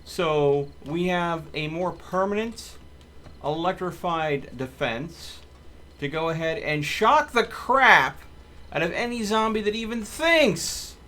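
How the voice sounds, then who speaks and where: High-pitched man doing slow-paced gameplay commentary. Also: expressive, bright, forceful tone. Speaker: gaming channel host. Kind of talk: casual live gameplay commentary.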